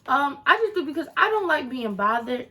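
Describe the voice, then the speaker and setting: A woman's voice: sits high, big pitch swings, a little fast, pushed and bright. A woman of twenty-one answering viewer questions in a casual vlog, chatty with sassy asides to her viewers. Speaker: vlogger.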